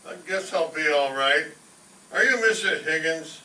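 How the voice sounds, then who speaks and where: A man's voice: fairly high pitch, expressive, moderate pace, bright, forceful tone. A man playing a dazed, long-suffering, groaning doctor in an old-time radio comedy on stage. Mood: weary. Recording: echoey stage from far off, faint noise.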